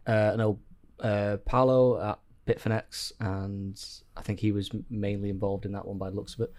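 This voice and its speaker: A man's voice: fairly low pitch, little pitch movement, moderate pace, a little mellow. A man co-hosting a podcast, talking in a rambling, hesitant way with lots of ums. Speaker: podcast co-host. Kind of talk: casual group chat.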